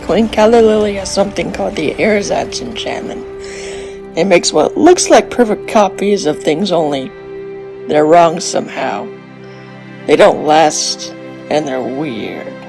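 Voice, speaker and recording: A woman's voice: fairly low pitch, expressive, unhurried, gravelly, music in the background. A woman voicing a cool, protective pony sister in a cartoon fan dub, casual and matter-of-fact, with a wry edge. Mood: reassuring, serious.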